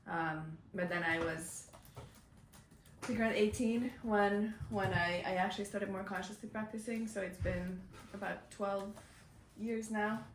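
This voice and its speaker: A woman's voice: mid-range voice, fairly even intonation, unhurried. A woman in her thirties, from Hungary and raised in Finland, answering interview questions thoughtfully with frequent ums. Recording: distant mic in echoey room with noise.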